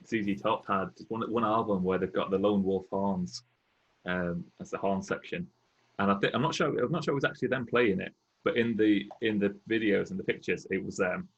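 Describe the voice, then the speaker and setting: A man hosting a podcast, chatting casually and relaxed, chiming in with short remarks. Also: fairly low pitch, fairly quick, husky, lo-fi sound. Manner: relaxed, laughs along, brief interjections. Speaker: podcast host. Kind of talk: casual chat.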